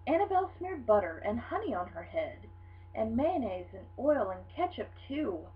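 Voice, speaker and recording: A woman reading a children's picture book aloud in an expressive, dramatic storytelling style. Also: fairly high pitch, normal speed. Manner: animated, dramatic storytelling delivery. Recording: dull lo-fi sound with hum.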